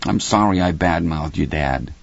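A man's voice: lowish voice, sing-song, normal speed, dry recording, dull audio, voice pushed up. A man voicing a defiant, sullen boy of twelve in an audiobook story. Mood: reluctant, sullen.

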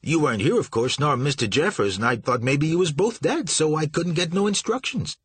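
A man's voice: a little high, expressive, a little fast, slightly rough, pitched above the performer's own voice. A man voicing a flustered, nervous young shipping agent who stammers and fawns. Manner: stammering, fawning.

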